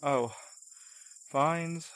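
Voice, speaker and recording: A man's voice: a little high, extremely slow, a bit bright, smooth, no echo. An actor playing a blunt, sardonic male courier with clipped phrases in an audio drama. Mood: shaken, weary. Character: gruff, blunt male courier named Milo, secretly shaken. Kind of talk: full-cast audio drama acting.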